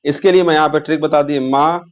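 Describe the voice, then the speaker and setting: A man's voice: fairly high pitch, fairly even intonation, fast, clear, resonant tone. A man teaching an informal exam lesson, repeating key terms and posing questions as he explains.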